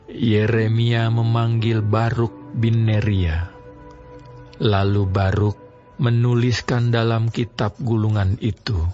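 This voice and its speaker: A man narrating a Bible reading in a slow, measured, even manner. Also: fairly low pitch, lo-fi sound. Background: music.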